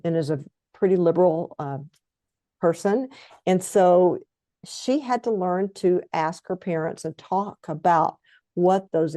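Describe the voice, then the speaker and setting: A woman's voice: deep voice, warm tone, dry recording. A woman co-hosting a podcast, talking and asking questions in a conversational interview style. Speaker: co-host, interviewer.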